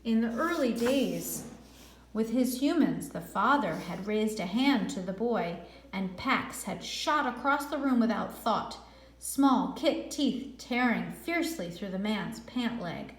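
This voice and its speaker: A woman reads a story aloud in a roomy, echoing space. Her voice sits a little high, and she takes her time, drawing words out expressively like a teacher reading to a class. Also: lively intonation, slow. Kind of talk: storybook read-aloud narration with brief asides. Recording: echoey, roomy sound.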